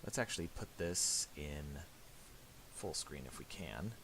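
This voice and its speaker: A man presenting a casual software tutorial in a halting, mumbled way, on a hissy home recording with clicks. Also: a bass voice, lively intonation.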